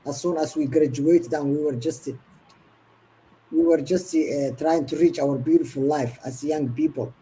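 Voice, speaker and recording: A woman's voice: low-pitched, fairly even intonation, measured pace, mellow, slight background noise, rough recording. A woman in her fifties from Somalia, a guest in an online interview, telling her story earnestly in long run-on sentences. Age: 50s.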